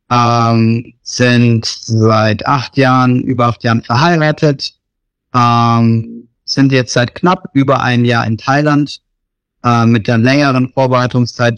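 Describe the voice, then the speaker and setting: A man in his thirties from Germany, talking casually about his missionary work in an interview, often pausing with fillers. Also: medium pitch, fairly even intonation, smooth, dry recording. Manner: hesitant, many fillers and restarts.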